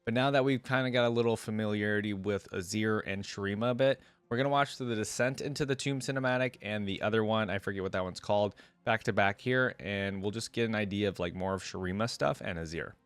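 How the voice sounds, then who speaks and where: A man's voice: lowish voice, fast, hardly any pauses, smooth, no echo. A man giving casual, off-the-cuff reaction commentary as a host, musing aloud as he goes.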